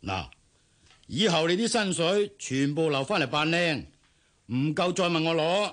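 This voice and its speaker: A man's voice: fairly high pitch, very animated, unhurried, fairly bright tone. A middle-aged Hong Kong businessman father in a radio drama. He is bossy and money-minded, nagging with big, swooping intonation and plenty of bluster. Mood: boastful, coaxing. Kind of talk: Cantonese radio drama acting.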